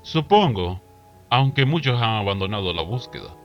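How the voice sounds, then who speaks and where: A man plays an evasive monster from a fan-dubbed cartoon, stubborn and responsible. His pitch moves around a lot in a middle register. The audio is a bit muffled, with clear music behind. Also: sing-song, moderate pace. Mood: hesitant, responsible. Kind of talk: Spanish fandub voice acting.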